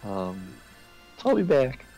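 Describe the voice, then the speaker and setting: A man in a casual voice chat, explaining things in a rambling, halting way. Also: medium pitch, wildly swooping pitch, dark tone, poor audio. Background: faint music.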